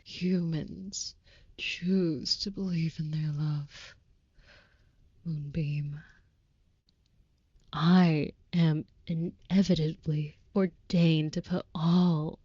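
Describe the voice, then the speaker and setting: A woman plays a tender female vampire lover, utterly devoted and ornately romantic. The voice is mellow and breathy, slipping into whispers, and it moves slowly and reverently through formal, old-fashioned phrases. The sound is close, dry and slightly dull, as in an intimate audio roleplay. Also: sits low, fairly even intonation, dry recording, exaggerated voice. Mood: impassioned devotion. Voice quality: soft, breathy with whispered moments. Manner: florid, reverent, archaic formal wording.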